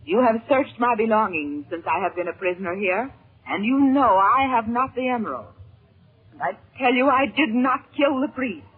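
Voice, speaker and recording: Distraught captive woman, high-pitched, old radio drama. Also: animated, moderate pace, slightly rough. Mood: desperate pleading. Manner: melodramatic, earnest. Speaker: radio serial actress. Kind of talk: 1930s radio serial acting. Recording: scratchy, muffled 1930s radio recording.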